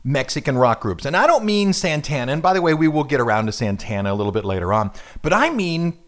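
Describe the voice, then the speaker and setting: A man's voice: on the high side, very animated, fast, a bit bright. A man hosting a radio music show in casual chat, joking and rambling with self-deprecating asides.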